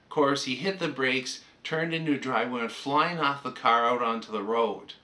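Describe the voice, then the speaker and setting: A man's voice: mid-range voice, moderate pace, bright, forceful tone. An older man with a Canadian accent, chatting casually and anecdotally as he reminisces like a hobbyist presenting on a vlog.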